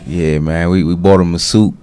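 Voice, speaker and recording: Deep-voiced relaxed man chatting. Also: normal speed, clear, resonant tone, no room sound. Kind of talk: casual radio chat.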